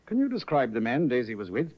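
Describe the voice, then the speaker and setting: A skeptical, probing police inspector, clipped and questioning. His pitch is lively and rises and falls a lot. The sound is muffled like an old broadcast. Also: fast.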